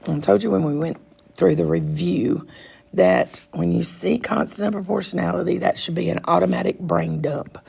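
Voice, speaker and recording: Raspy, congested woman teaching math. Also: on the low side, a little mellow. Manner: patient, step-by-step explaining. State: congested, froggy throat. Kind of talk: recorded math lesson walkthrough.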